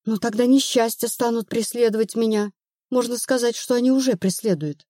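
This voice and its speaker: A woman's voice: a little high, brisk, warm tone, dry recording, clean studio sound, pitched above the performer's own voice, natural voice. A woman narrator playing an anxious, stubborn woman of thirty-one in an audiobook, plaintive and earnest. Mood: pleading.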